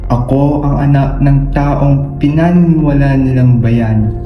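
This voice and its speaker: A man's voice: mid-range voice, steady tone, unhurried, muffled recording, natural voice. A young man playing a bitter, defiant student son in a radio drama, speaking earnestly and mixing in English. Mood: solemn, resolute. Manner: earnest, code-switching with English. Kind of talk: radio drama, brooding monologue. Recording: echoey room with music bed.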